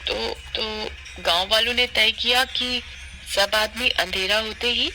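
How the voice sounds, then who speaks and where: A man's voice: sits high, steady tone, slow, bright, forceful tone, soft background music, heavily acted. A man voicing an earnest, brave village wife in a cartoon story. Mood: hesitant.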